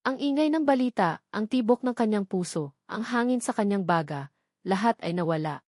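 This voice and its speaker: A woman reads a dramatic love story aloud in a steady, measured way. Her voice sits at a middling pitch. The recording is dry and close, with no room echo. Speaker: story narrator. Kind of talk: scripted storybook narration.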